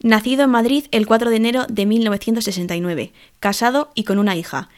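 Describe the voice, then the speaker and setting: Female reader, formal memorial read-out, steady and even. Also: fairly high pitch, fast. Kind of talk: scripted reading.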